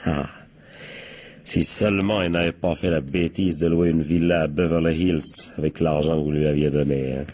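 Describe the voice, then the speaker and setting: Sly police captain in french radio whodunit, very low husky voice, ironic. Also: male voice, steady tone, mellow. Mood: triumphant. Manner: deliberate, ironic, teasing rhetorical questions. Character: shrewd, theatrical male police captain, patiently cornering suspects. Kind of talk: French radio crime drama acting.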